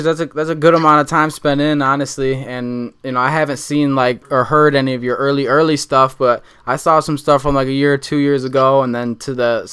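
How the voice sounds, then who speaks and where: A man in his twenties hosting a relaxed interview show, easygoing and rambling with lots of fillers. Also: mid-range voice, clean tone. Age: 20s. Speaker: podcast host. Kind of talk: casual interview chat.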